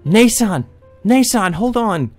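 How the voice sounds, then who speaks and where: A frantic young maid acted out by a man, pitched well up high. She stammers and cries out in desperate grief, in a visual novel read-through with music behind. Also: animated, takes it slowly, full character voice. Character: frantic, grief-stricken young maid crying for her sister.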